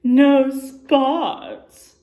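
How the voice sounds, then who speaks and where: A woman's voice: high voice, steady tone, extremely slow, mellow, pitched above the performer's own voice. A woman voicing vain jungle animals who mock a small warthog in a picture-book read-aloud, scornful and exclaiming. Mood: scornful teasing.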